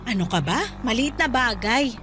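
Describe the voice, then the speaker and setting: Affectionate, higher-voiced middle-aged mother. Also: animated, normal speed, a bit bright, slight background noise. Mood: affectionate, teasing. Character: loving, teasing, hardworking eatery-worker mother. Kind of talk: Filipino radio drama acting.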